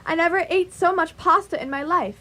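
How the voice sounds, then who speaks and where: A woman's voice: very high-pitched, fairly quick, pushed and bright. An actress playing a friendly, chatty young woman on a first date in a radio play, polite and gushing. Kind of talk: radio theatre acting.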